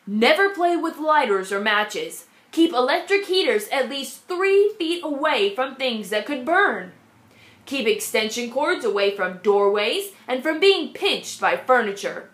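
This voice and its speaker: A woman's voice: sits high, big pitch swings, unhurried, a bit bright, exaggerated voice. A woman voicing a patient male schoolteacher who lectures steadily and with emphasis, in a storybook read-aloud. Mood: instructive. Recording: echoey room.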